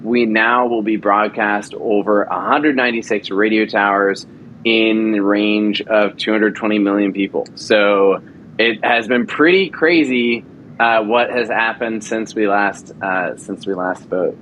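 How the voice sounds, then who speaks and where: A man's voice: on the low side, fairly even intonation, unhurried, fairly bright tone, dull audio, a little hiss or hum. A man in his thirties, an actor and producer, telling stories eagerly as an interview guest and using many fillers. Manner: enthusiastic storyteller with frequent fillers. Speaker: actor and audio drama producer. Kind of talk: casual interview chat.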